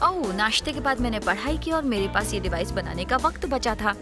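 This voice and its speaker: A woman's voice: fairly high pitch, lively intonation, fairly quick, natural voice. A woman voicing a clever, matter-of-fact schoolgirl inventor in a dubbed cartoon. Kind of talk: cartoon dub acting. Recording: music behind the voice.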